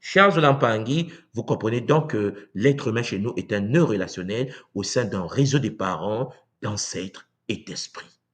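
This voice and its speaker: Congolese man, live lecture host, animated rhetorical delivery, swinging pitch. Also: normal speed. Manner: animated, rhetorical questions, acts out quoted voices. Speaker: speaker on spiritual tradition. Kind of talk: live talk addressing viewers. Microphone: livestream mic.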